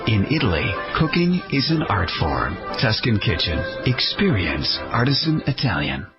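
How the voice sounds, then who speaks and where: A man's voice: on the low side, big pitch swings, moderate pace. A man narrating a scripted radio advertisement as an announcer. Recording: dull lo-fi radio recording. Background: background music.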